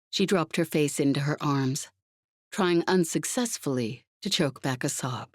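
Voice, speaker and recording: A woman narrates fiction with slow, deliberate pacing and expressive pauses. Her voice sits slightly low, and the recording is close and very dry. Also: no room sound. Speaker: audiobook narrator. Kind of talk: dramatic scripted fiction narration.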